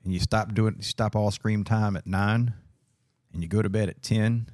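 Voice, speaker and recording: A man's voice: fairly low pitch, steady tone, moderate pace, darkish tone, dry recording. A man hosting a casual podcast, blunt and joking, swearing freely. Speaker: podcast host.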